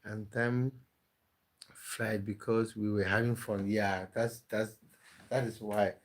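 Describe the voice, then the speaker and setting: A man's voice: fairly low pitch, little pitch movement, slow pace. Chatty man hosting a late live stream. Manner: chatty, laughing, answers viewer comments one by one. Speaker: live-stream host reading chat. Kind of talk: casual live-stream chat.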